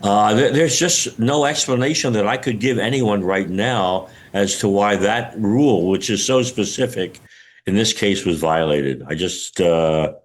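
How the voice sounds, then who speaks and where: An older man being interviewed as an expert, explaining things haltingly as he searches for words. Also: lowish voice. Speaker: retired air traffic controller, interviewed expert. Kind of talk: news interview, unscripted explanation.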